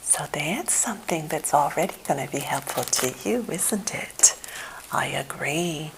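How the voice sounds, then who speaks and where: A middle-aged woman playing a quirky, chatty therapist in an ASMR role play, whispering softly and hesitantly in a reassuring way. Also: deep voice, expressive, a little slow, gravelly, background noise, full character voice. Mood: helpful, reassuring. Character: quirky, soothing, chatty female therapist welcoming a famous client. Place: indoor room at home.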